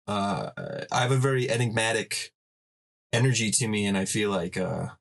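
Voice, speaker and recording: A man who stutters, talking in a halting, deadpan way as a guest in a casual podcast chat over a home mic. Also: lowish voice, creaky.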